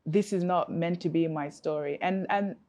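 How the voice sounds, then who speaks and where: A woman's voice: lowish voice, moderate pace, warm tone. A woman from Africa, a coach, answering interview questions in an earnest, reflective way with many fillers and restarts.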